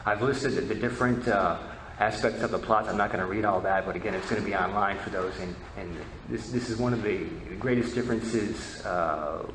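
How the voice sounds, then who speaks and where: Guy doing a history talk, kinda deep, stumbles and restarts a lot, roomy echo. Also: steady tone. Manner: halting, repeats and rephrases words. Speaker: presenter, media researcher. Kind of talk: informal history lecture. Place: indoor presentation room. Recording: echoey room, faint background noise.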